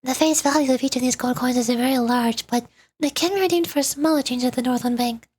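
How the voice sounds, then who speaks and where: High-voiced playful woman streamer. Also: a little fast, darkish tone, a little hiss or hum. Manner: playful, switches voices for characters. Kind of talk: reading game dialogue aloud. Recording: game music behind.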